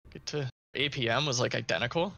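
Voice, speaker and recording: A man's voice: mid-range voice, brisk, gravelly, sharp tone. A man making dry, wry remarks in casual chat, heard from a video played back.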